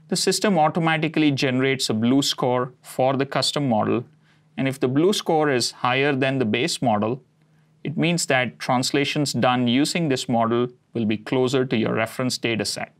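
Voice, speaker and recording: A man in his forties whose first language is Konkani, presenting a cloud product demo in a steady, explanatory way with occasional fillers. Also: mid-range voice. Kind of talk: scripted tech demo narration. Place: studio set with map backdrop.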